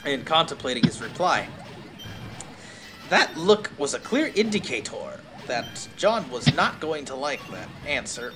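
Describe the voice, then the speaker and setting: A man reading fan fiction aloud on a stream with wry, casual asides, often interrupting himself, some noise in the background. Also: mid-range voice. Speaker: streamer, host.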